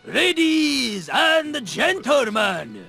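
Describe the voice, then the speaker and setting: An actor playing a showy sports announcer at a diving contest in a video game, grandiose in delivery. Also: male voice, very high voice, sing-song, extremely slow, creaky, bright, forceful tone, faint music behind, full character voice. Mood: showy, excited.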